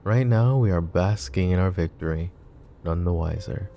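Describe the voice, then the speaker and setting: Man voicing a possessive mafia boss, very low, gravelly, mellow, soft-spoken and teasing, ASMR roleplay. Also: normal speed, soft background music. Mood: calm scheming. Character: flirty possessive male mafia boss, protective.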